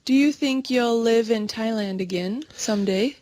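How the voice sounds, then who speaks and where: A woman speaking clearly and simply in a slow conversation practice recording. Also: fairly high pitch, darkish tone, dry recording. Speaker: English speaker in a practice dialogue.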